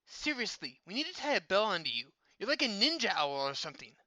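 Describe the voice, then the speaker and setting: A woman's voice: mid-range voice, big pitch swings, fast, bright, forceful tone, no room sound, poor audio. A woman voicing a cheeky, loyal young male sidekick in a dramatized story, wry, casual and easily exasperated.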